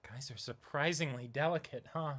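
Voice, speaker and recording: Lowish-voiced woman reading dialogue. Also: normal speed. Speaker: reader in a manga dramatic reading. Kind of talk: reading manga dialogue and narration aloud.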